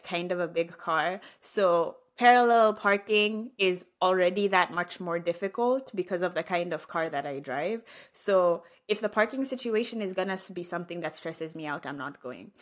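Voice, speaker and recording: A woman podcast host, telling a personal story casually and candidly, acting out the dialogue as she goes. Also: on the low side. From Kenya. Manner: candid, animated retelling with acted-out dialogue.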